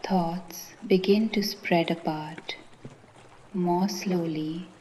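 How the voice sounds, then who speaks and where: Very slow, mellow woman guiding meditation. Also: lowish voice, fairly even intonation, a little hiss or hum. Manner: slow, gentle, soothing, with pauses. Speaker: meditation guide. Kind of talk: guided meditation narration.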